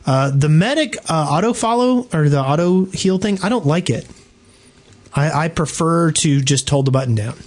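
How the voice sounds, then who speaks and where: Higher-pitched, blunt male podcast co-host. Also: expressive, moderate pace, a little mellow. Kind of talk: casual chat.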